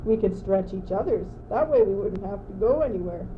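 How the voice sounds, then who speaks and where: A woman's voice: mid-range voice, animated, fairly quick, natural voice. A teenage girl playing a naive, anxious courtier who follows along in an amateur radio play, hesitant and plaintive. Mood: idle. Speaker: student actor. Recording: dull, noisy old amateur recording.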